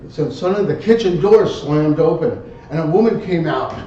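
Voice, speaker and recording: A man with a slightly high voice delivers a live story to a room in dramatic, broken phrases. He sounds distant from the mic, with heavy echo around him. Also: expressive, dark tone. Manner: theatrical, halting phrases, acted outbursts. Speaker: contest speaker telling a tall tale. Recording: very echoey room, distant muffled mic, rumble.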